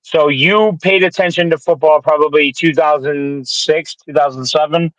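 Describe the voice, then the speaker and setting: A man's voice: on the high side, steady tone, normal speed, fairly bright tone, no room sound, dull audio. Profane, rambling man in his twenties. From Detroit area. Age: 20s. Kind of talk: casual sports chat.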